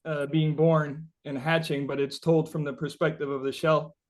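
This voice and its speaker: Musician dude in an interview, slightly high voice, rambly, keeps searching for words. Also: male voice, little pitch movement. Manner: halting, searching, rambling explanations. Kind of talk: casual interview chat.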